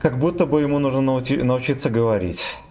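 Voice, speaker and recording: A man's voice: medium pitch, a little fast, a little mellow, a little hiss or hum. A young man streaming a tank game, joking with chat and swearing freely. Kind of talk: casual game stream chatter.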